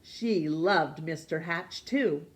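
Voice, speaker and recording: A woman reading a children's picture book aloud for storytime, gently and expressively. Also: sits low. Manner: gentle, slow and expressive, voicing characters.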